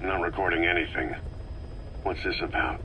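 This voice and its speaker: A stoic, battle-worn commander speaks in few, guarded words. His voice is very low with a hard edge. It is a video game audio log with faint tape noise. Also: normal speed. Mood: guarded, grave. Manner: laconic, flat, guarded. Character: terse, stoic, battle-worn middle-aged male soldier commander. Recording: noisy cassette-tape style recording.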